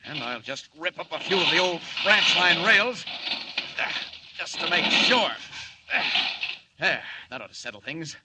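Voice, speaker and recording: A confident, decisive hero of a vintage radio serial. His voice sits slightly high and sounds brisk, over clearly noisy old audio. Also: lively intonation. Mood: determined. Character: confident, brisk heroic male reporter and secret superhero. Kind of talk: 1940s radio serial acting.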